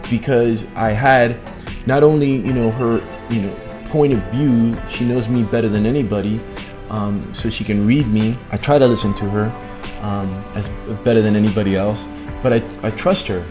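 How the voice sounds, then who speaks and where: A man's voice: on the low side, steady tone, normal speed, music in the background. A middle-aged man hosting a casual vlog chat, emphatic and often restating his points. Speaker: host of a couple's book-review vlog.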